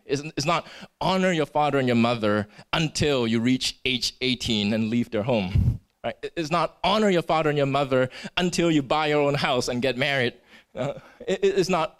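Earnest preacher who grew up in Singapore. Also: male voice, medium pitch. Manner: earnest, frequent "right?" tags and stumbling restarts. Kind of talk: sermon.

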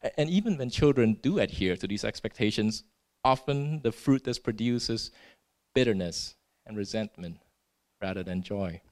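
A man's voice: lowish voice, expressive, moderate pace, a little mellow. A man from Singapore preaching a sermon in an earnest way. He often tags his points with "right?" and restarts his words.

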